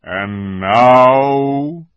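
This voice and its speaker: A man voicing a majestic male lion who speaks slowly and solemnly, grave but gentle. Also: mid-range voice, clear, resonant tone, dry recording, lo-fi sound, pitched above the performer's own voice. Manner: slow, solemn delivery.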